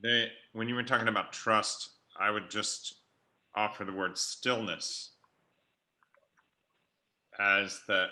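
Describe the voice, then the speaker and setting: A man with a loud voice speaking slowly and thoughtfully in a group conversation on a video call, pausing often. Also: fairly low pitch, fairly even intonation. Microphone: computer mic on a video call.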